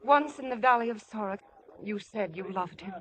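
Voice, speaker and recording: A woman's voice: medium pitch, normal speed, a bit bright, natural voice, rough recording. A humble, devoted biblical woman, played by an actress. Her voice is of average height for a woman. She pleads with earnest solemnity in classic 1950s radio drama manner. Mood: humble pleading.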